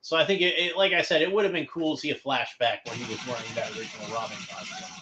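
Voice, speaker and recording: A man hosting a watchalong, giving casual fan commentary in a halting, conversational way, thinking aloud as he goes. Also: fairly high pitch, fairly even intonation. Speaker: host of a cartoon watchalong commentary. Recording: dull lo-fi home recording, background noise.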